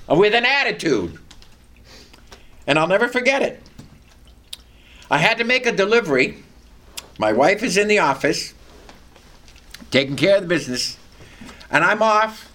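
Animated older male preacher, loud voice. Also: sits high. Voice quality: loud, carrying voice. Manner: animated storytelling, reads scripture aloud. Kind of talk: sermon with personal testimony.

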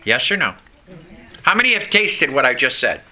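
A man preaching a folksy sermon who grew up in Vermont and whose first language is French. He often turns to the congregation with questions and pauses between phrases. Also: mid-range voice, sing-song, bright, forceful tone. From Vermont, USA.

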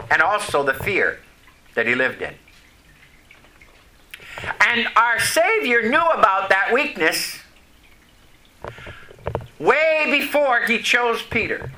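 A man preaching to his congregation in a high, lively voice that climbs and falls with each point. He speaks slowly and with a bright, forceful edge, and keeps stopping to ask the listeners questions. He grew up in Vermont, and his first language is French. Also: slight background noise, low-quality recording. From Vermont, USA. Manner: folksy, questions the congregation, pauses between phrases. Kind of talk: sermon.

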